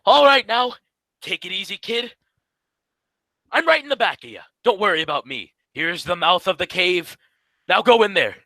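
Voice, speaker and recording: A man's voice: high voice, expressive, moderate pace, pauses often, bright, forceful tone, dry recording, exaggerated voice. A man playing a bossy, cajoling, self-serving straight man in a vintage-style comedy radio double act.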